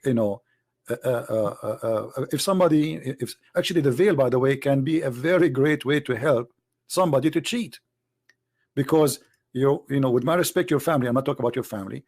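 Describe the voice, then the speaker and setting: A man hosts a live stream call, lecturing his guest in an emphatic, preaching way. His English is broken but fluent. The voice is gravelly and sits a little high. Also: darkish tone.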